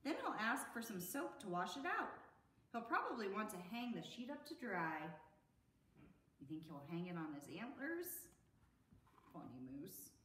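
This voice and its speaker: Animated American woman reading to children, roomy echo. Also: medium pitch, moderate pace, pauses often. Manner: sing-song, expressive, addressing young listeners. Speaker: children's storytime host. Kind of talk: animated picture-book read-aloud to children. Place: indoor room at an arts center. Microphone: camera a few metres away. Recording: roomy echo, distant camera mic.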